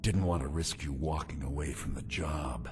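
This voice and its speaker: An actor playing a sly, self-satisfied meadery conspirator and fence in a fantasy video game, smug and businesslike. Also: male voice, very deep voice, moderate pace, noisy background. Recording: clear background music.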